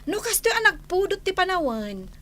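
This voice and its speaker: An excitable, tattling woman of the household in an Ilocano radio drama. Her voice is extremely high and bright, with animated swoops and a gossipy, exclamatory Ilocano-accented delivery. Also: moderate pace, exaggerated voice. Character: excitable, tattling female relative fussing over the household. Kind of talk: Filipino radio drama acting.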